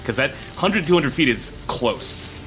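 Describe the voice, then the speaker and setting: A man's voice: fairly high pitch, big pitch swings, measured pace, over music, low-quality recording. A man chatting casually and swearing as a player in a tabletop roleplaying game.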